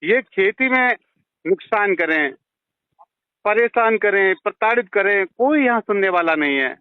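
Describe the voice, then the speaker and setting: Male villager, high voice, western Uttar Pradesh accent, insistent and pleading, heard over a narrowband phone line. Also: lively intonation, unhurried, clear, resonant tone, dry recording. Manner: pleading, insistent, deferential, repeats himself. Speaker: villager on a phone call to his MP. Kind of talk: heated phone conversation. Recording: tinny narrowband phone call recording.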